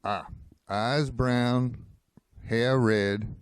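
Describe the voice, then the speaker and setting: A man's voice: mid-range voice, steady tone, drawn-out words, slight background noise. An actor playing an eager, boastful small-town newspaperman with a folksy, gushing manner in a radio western. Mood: attentive. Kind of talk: old-time radio western acting.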